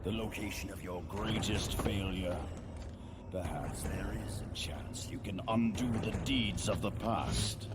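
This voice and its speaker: An actor voicing an arrogant, wrathful god of war in a video game, taunting and grandiose in a theatrical villain style. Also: male voice, sits low, unhurried, very noisy. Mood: menacing. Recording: loud music under the voice.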